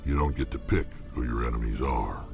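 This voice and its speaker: An actor playing a hardened, blunt male alien mercenary warrior with a gruff, world-weary manner, in a video game. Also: rumbling low, brisk. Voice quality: gravelly. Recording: game audio with music behind.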